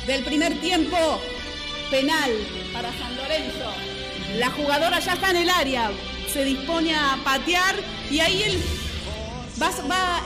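An excited female match announcer acted by a woman narrates a radio play in a high voice. Loud music runs under her amateur recording. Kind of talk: radio drama narration, football commentary.

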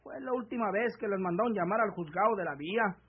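An actor playing a scheming, greedy husband from the countryside, with a rural northern Mexican accent and an insistent, rustic way of talking, in an old radio serial. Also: male voice, high-pitched, fast, slightly rough. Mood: pushy, aggrieved. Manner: rustic, insistent, pressing speech. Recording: dull vintage AM radio drama recording.

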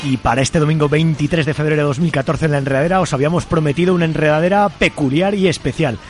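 A man's voice: a little high, fast talker, soft background music. A man with an accent from Spain, presenting live as the host of a radio show. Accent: Spanish from Spain. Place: radio studio.

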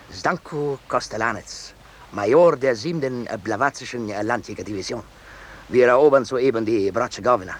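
An actor playing a suspicious, pompous army major in a 1950s German radio drama. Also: male voice, medium pitch, slight background noise, lightly acted. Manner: barking, pompous, slow-witted. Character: suspicious, blustering army major of a fictional Balkan state.

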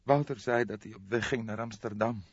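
A man voicing a distraught, helpless young woman in a tearful, halting way for an audiobook. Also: on the low side, breathy edge, no room sound, lo-fi sound, voice pushed up. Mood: subdued, sad. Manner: wailing, halting, tearful delivery. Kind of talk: audiobook character voice.

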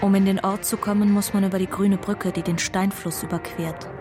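An actress as a watchful daughter narrating over music. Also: female voice, medium pitch, fairly even intonation, fairly quick, natural voice. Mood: sombre. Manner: plain, short-sentenced, first-person storytelling. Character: watchful school-age daughter of a broken father, narrating. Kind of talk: German radio drama narration. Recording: music under the voice.

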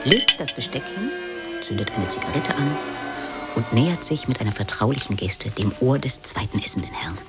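Male narrator for radio drama stage directions: medium pitch, very lively intonation, music underneath. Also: normal speed.

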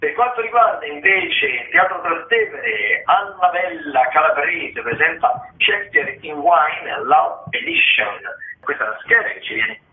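A man's voice: a little high, animated, a little slow, vocal fry. Guy doing radio theatre listings, thinks aloud, tinny phone-like sound, echoey room. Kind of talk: semi-improvised radio commentary on theatre listings.

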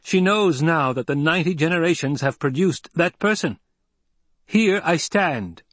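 A man voicing a defiant, coldly angry young heir in a forceful, imperious, declamatory way. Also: mid-range voice, animated, moderate pace, dry recording, voice pushed up, close to an everyday voice. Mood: commanding fury.

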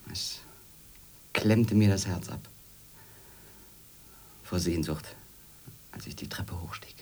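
A man playing a haunted, bitter, obsessive former editor in a radio drama, brooding in halting literary monologue that often sinks toward a whisper. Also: sits low, darkish tone. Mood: wistful, halting.

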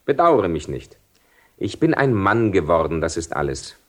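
Cynical seducer nobleman, low, sweeping delivery. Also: sing-song, normal speed. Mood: detached, exalted. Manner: theatrical, articulate, ironic, declaiming. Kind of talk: 1970 German radio drama acting.

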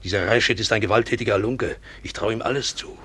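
A man's voice: lowish voice, fast talker, bright, forceful tone, slightly rough. A man playing a proud, protective and bitter colonial father in a radio drama, emphatic and brooding. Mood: bitter, worried. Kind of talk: literary radio drama acting.

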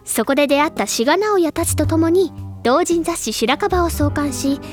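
A woman playing a bubbly, stubborn high school girl who gushes and lectures theatrically about literature in an audio drama. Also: animated, normal speed, faint music behind. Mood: passionate lecturing. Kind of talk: Japanese anime-style audio drama acting.

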